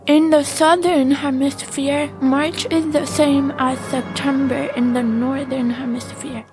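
A young woman with a high voice speaks slowly and carefully, as one of a podcast team. Soft music can be heard with her. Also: level tone. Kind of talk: reading facts and casual chat.

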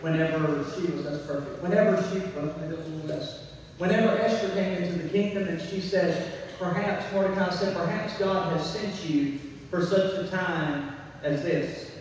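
High-voiced pastor in an echoing hall. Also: male voice, normal speed. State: mild laryngitis, weakened voice. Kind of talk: church sermon. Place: church, speaking to a congregation. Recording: very echoey hall, distant mic, faint noise.

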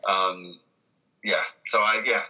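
A man with a strikingly bright, forward voice, pitched a little low. He talks casually as an interview guest. His delivery is halting and rambling, circling back and restarting mid-thought. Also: steady tone, highs cut off. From Britain. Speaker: interview guest, comedy writer.

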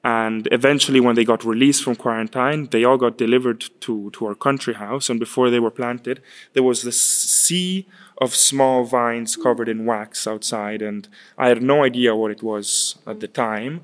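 A man in his twenties, raised in Chile, answering interview questions in a halting way, often repeating words. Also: mid-range voice. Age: 20s. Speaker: guest, winemaker's son. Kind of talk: casual interview.

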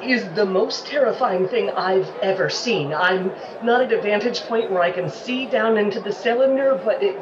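A woman's voice: mid-range voice, normal speed, few pauses, background noise, natural voice. An actress playing a plucky, no-nonsense female field reporter in a 1930s radio play spoof. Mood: fearful. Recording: dull, distant mic with music behind.

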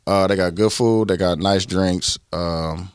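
A man co-hosting a casual podcast chat, laid-back and slangy, drifting into drawn-out pauses. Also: low voice, fairly even intonation, clear, resonant tone, no echo, clean studio sound. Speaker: podcast co-host.

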